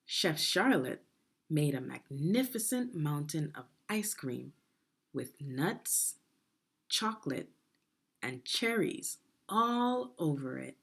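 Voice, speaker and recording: Slow, low-pitched woman reading to children. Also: big pitch swings, creaky, fairly bright tone, husky. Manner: deliberate, teacherly, asks the listener questions. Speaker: education consultant reading a children's book. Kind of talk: expressive read-aloud for young children.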